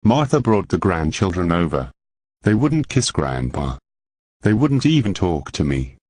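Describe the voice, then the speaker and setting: A man's voice: deep voice, big pitch swings, unhurried, a little mellow, breathy edge, no echo, exaggerated voice. Man acting flustered old judge, swooping intonation. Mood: flustered, troubled. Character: flustered, worried elderly judge and grandfather. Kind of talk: radio play acting.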